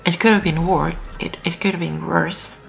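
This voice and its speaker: A teenage girl doing casual gameplay commentary, hoarse from just waking up, chatty and repetitive with lots of fillers. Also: lowish voice, steady tone, normal speed, faint music behind, low-quality recording. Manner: chatty, repeats herself, lots of "um" and "so". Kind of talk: casual let's-play commentary. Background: builders' noise outside.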